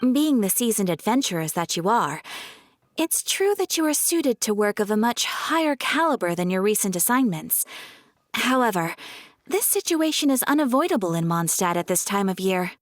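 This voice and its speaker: A woman's voice: medium pitch, normal speed, no room sound, studio quality, lightly acted. A woman voicing a polite, formal guild receptionist in a game cutscene. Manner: courteous, even and formal.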